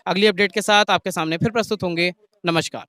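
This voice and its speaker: Brief: male online news host, high smooth voice, quick questions, dry close mic. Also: fairly even intonation, pushed and bright, dry recording. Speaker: news interviewer and channel host. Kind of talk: street news interview.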